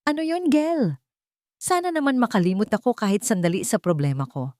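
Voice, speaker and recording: A woman's voice: on the high side, animated, brisk, mellow, dry recording, pitched above the performer's own voice, close to an everyday voice. A heartbroken young mother voiced by a woman storyteller speaks with weary hesitation. She sounds downcast, in audio-drama style, recorded very dry. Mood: weary, doubtful.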